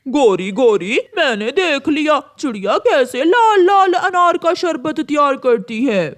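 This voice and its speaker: Woman as a wheedling high cartoon crow. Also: animated, a little slow. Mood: excited.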